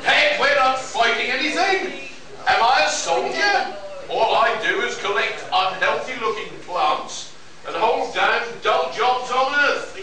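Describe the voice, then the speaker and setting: A man acts out a jaded young space adventurer in a staged science fiction radio play. He grumbles and declaims slowly in a very high register. The sound is distant and heavily echoed, with some audience murmur behind. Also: lively intonation, crowd noise. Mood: weary, grumbling. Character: weary, cynical young male botanist-adventurer in space. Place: live performance in a convention room.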